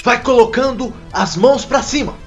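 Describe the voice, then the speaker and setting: Man acting an agitated high-pitched guard. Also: normal speed, vocal fry. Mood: urgent, aggressive. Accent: Brazilian. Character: agitated male patrol guard barking orders. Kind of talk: audio drama acting. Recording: echoey, loud music over voice.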